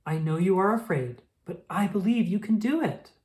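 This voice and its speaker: A woman voicing a caring but firm mother squirrel in a storybook, gentle and encouraging. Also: medium pitch, animated, moderate pace, pitched above the performer's own voice, close to an everyday voice. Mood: coaxing.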